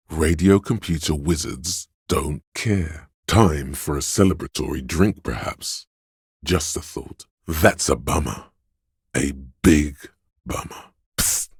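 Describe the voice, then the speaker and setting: An extremely deep, gravel-rough tempter for a video game, recorded close and dry. This is addiction itself as an inner voice, gleeful and seductive. The delivery is sly and theatrical, insinuating and goading toward every indulgence. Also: male voice, no echo, exaggerated voice. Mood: sly, amused, then seductive, mocking. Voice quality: very deep, husky. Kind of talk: video game character voice lines.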